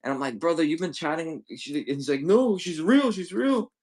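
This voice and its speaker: A man's voice: a little high, animated, moderate pace, darkish tone. Profane rambling young man streaming. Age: 20s. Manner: rambling, profane, slangy, lots of "bro". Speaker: livestream seller. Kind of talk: casual livestream chat.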